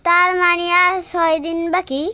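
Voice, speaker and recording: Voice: extremely high pitch, level tone, moderate pace, bright, forceful tone, heavily acted. A performer voicing an eager, headstrong young boy in a kids' cartoon, childlike and insistent. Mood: curious, eager. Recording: music behind the voice.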